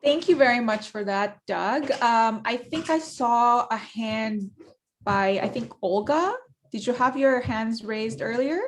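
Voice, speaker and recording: Woman panel moderator on a video call; friendly, halting, apologetic delivery. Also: a little high, a little hiss or hum. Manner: hesitant, apologetic, laughs lightly. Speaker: panel chair.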